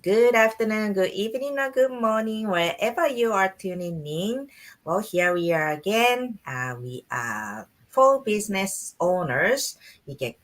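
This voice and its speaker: A woman from Japan, hosting a live online business chat in an animated, chatty way. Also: medium pitch, measured pace. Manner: animated, chatty, laughs often. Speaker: host.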